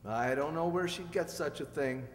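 A man's voice: fairly high pitch, moderate pace, a little hiss or hum, natural voice. Earnest fugitive doctor acted by a man, echoing hall. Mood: defensive. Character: earnest middle-aged fugitive doctor posing as a farmer. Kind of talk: old-time radio drama acting read from script. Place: stage in a community hall. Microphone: stand microphone. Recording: echoey hall stage, distant reverberant sound.

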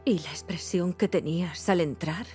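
An actress playing a hurt, sharp-tongued wife in her thirties in a dubbed game, sarcastic and reproachful, with a Castilian Spanish accent. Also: on the low side, normal speed, faint music behind, natural voice. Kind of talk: dubbed video game cinematic acting.

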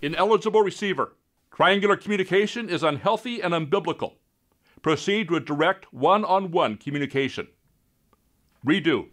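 An actor playing an officious referee in a training film, making clipped, formal rule announcements. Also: male voice, on the high side, expressive, moderate pace, a bit bright. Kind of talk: scripted training film, referee-style calls.

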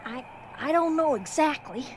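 A woman's voice: high voice, expressive, normal speed, slight background noise. A woman playing a precocious, self-assured little boy in an audio drama, matter-of-fact and knowing.

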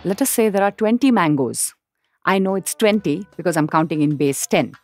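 A woman's voice: fairly low pitch, animated, moderate pace, a little mellow, faint music behind. A woman giving a playful explanation in a radio promo.

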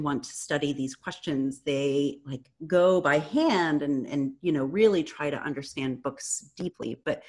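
A woman's voice: sits low, a little mellow. A woman, speaking on a panel over a video call from her living room and using many "you know" fillers. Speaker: panelist, professor. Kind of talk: academic panel talk. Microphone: laptop webcam on a video call.

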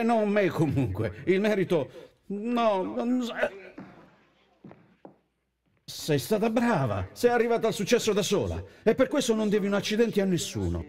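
Drunken, bitter washed-up male singer played by a man, high-ish voice, swinging pitch, rambling film-dub drama. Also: a little mellow. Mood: rambling, tender. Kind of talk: amateur film dubbing.

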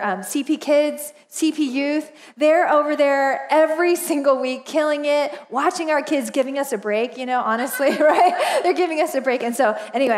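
High-voiced chatty woman pastor in her forties. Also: slight background noise. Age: 40s. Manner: chatty and animated, laughs often, lots of fillers. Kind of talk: casual storytelling sermon. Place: church stage, large indoor auditorium.

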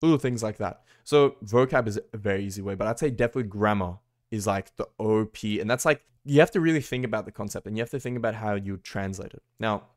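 A young man from Australia, casually vlogging about learning languages from his bedroom, rambling with lots of fillers. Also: fairly low pitch. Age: young adult. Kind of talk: casual talking-head vlog. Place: small bedroom, indoors.

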